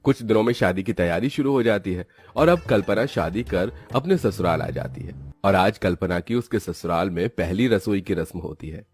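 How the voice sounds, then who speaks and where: A man's voice: low voice, animated, normal speed, hardly any pauses, a little mellow, slightly rough. A man narrating a scripted children's moral story as its storyteller.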